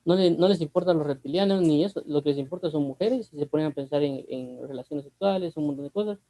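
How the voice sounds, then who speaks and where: Preacher, Guatemalan accent, man, conversational and repetitive, warm tone, no room sound. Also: on the high side, fast talker, dry recording, poor audio. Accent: Guatemalan Spanish. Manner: conversational, repeats himself, asks rhetorical questions. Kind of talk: Bible teaching.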